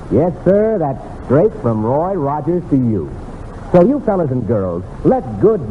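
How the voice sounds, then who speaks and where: Lively American radio announcer man, very tinny. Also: a little high, a little hiss or hum. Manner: folksy, addressing young listeners. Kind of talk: 1940s radio advertising pitch. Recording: thin, reverberant old radio broadcast.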